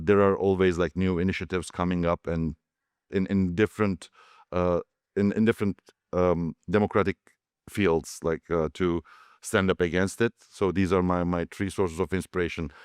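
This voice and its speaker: A man from Turkey answering on a conference panel, halting and full of fillers and restarts. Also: low voice, darkish tone, clean tone, no room sound, studio quality.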